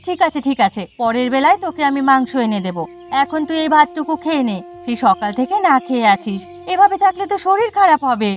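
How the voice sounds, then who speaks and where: Woman playing a high-voiced exasperated village mother. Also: lively intonation, fast, soft background music. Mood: coaxing. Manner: scolding, pleading. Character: exasperated, weary poor village widow mother. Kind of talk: cartoon voice acting.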